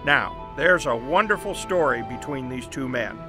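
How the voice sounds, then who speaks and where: An actor playing a brisk, persuasive newspaper boss in an audio drama, confident and explanatory. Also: male voice, mid-range voice, expressive, music in the background, lightly acted. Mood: brisk enthusiasm. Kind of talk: family audio drama acting.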